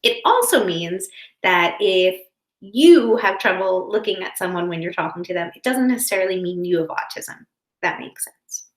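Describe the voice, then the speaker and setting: A woman's voice: on the low side, expressive, moderate pace. A woman teacher reading and explaining a picture book to young children in simple, careful language. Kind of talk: children's read-aloud with explanatory asides. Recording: roomy, echoing indoor sound.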